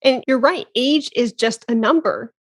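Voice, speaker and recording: A woman's voice: sits high, moderate pace. A woman in her thirties hosting a podcast, chatting casually and exclaiming often with laughs. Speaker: podcast host. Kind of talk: casual interview chat.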